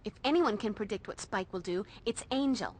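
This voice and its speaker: Wry American teen heroine. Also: female voice, mid-range voice, expressive, a little fast, darkish tone, husky. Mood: decisive. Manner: sarcastic, blunt, slangy. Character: wry, bossy teenage girl demon fighter. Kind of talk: video game voice acting.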